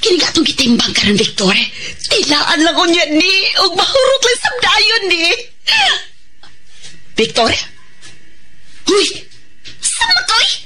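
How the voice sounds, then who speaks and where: Very high-pitched Cebuano lady of the house. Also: sing-song, unhurried, pauses often, full character voice. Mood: exasperated. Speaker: radio drama actress. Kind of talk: Filipino radio drama acting. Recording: music bed behind dialogue.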